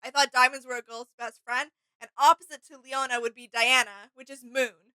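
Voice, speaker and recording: A woman's voice: sits high, level tone, moderate pace, pushed and bright, clear, resonant tone, dry recording, poor audio. A woman co-hosting a reaction video in casual chat, tentative and often trailing off mid-thought. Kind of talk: casual chat, sharing impressions.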